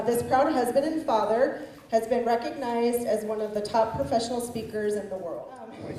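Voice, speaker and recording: Echoey, mellow-voiced woman introducing a speaker. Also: fairly high pitch. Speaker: event host introducing a guest speaker. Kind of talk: prepared introduction speech. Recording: echoey room, far from the mic.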